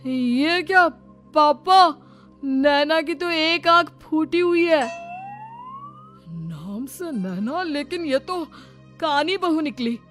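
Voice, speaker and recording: A woman's voice: high voice, a bit bright, full character voice. A woman voicing a whiny young groom in a cartoon story who feels cheated. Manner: whiny, outraged. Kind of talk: cartoon voice acting.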